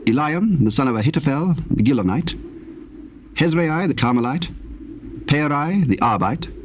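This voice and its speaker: A man narrating scripture from the King James Bible in a formal, measured storytelling manner. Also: a little high, lively intonation, dull audio. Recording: old cassette tape, faint background noise.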